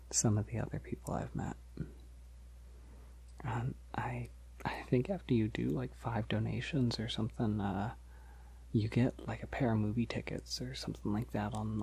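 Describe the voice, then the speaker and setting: Breathy, husky, soft-spoken polite vampire played by a man. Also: fairly low pitch, full character voice. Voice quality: soft, breathy, often near whisper. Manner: hesitant, reassuring, halting with soft chuckles. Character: polite, gentle young male vampire, shy and considerate. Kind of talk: ASMR roleplay, soft-spoken to the listener.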